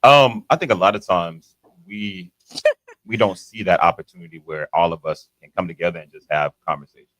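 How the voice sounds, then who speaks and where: A man's voice: low-pitched, lively intonation, normal speed, gravelly, fairly bright tone, dry recording, low-quality recording. A man being interviewed on a radio show, talking upbeat and repeating his phrases. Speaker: event organizer guest. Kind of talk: casual radio interview talk. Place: radio studio.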